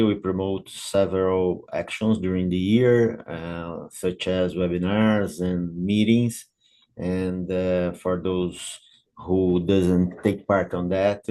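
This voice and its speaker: A man with a Brazilian Portuguese accent hosting an online business event, speaking haltingly with many filler pauses over a video call. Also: low-pitched, steady tone, dark tone. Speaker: event host and committee coordinator.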